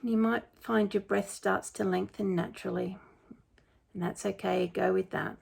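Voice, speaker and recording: Creaky-voiced woman guiding a meditation. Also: medium pitch, fairly even intonation. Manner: gentle, unhurried, soothing, informal. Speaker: meditation guide, coach. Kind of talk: guided meditation, spoken softly to listeners.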